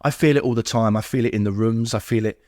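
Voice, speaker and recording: A man's voice: mid-range voice, quick pace, darkish tone, no echo, studio quality. A middle-aged man, speaking as a podcast guest and telling personal recovery stories, acting out what people said. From England.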